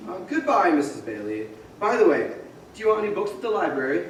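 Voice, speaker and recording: A man's voice: sits high, big pitch swings, fast talker. An actor playing a stubborn, awkward, grumbling young small-town man in an old-time radio play. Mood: sarcastic. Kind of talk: old-time radio drama stage acting. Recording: echoey theater, distant mic, faint noise.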